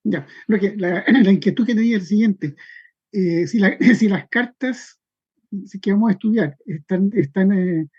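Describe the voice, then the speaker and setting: A man speaking as a student in an online class, politely asking a question in a halting way, repeating words as he goes. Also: slightly rough. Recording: muffled low-fidelity online call audio.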